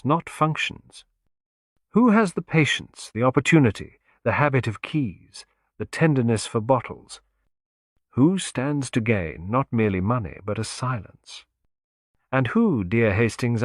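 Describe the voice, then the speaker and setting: Man playing shrewd gentleman detective, courteous, musing, deliberate phrasing, audiobook mystery, close dry recording. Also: medium pitch, dry recording. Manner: mild, deliberate, aphoristic.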